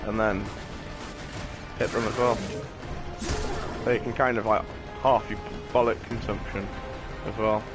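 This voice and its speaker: Deep-voiced British man gaming over music. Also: level tone, measured pace, long pauses. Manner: rambling, sweary, informal. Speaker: gaming streamer. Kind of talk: casual gameplay commentary with banter.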